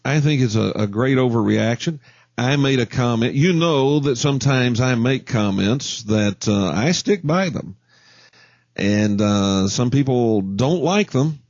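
A man's voice: mid-range voice, expressive, measured pace, darkish tone, dry recording, dull audio. A man hosting a talk radio call-in show, blunt and opinionated.

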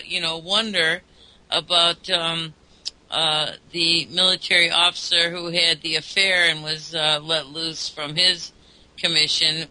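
A man's voice: on the high side, sharp tone, poor audio. A man hosting a talk show, rambling along with lots of ums and uhs.

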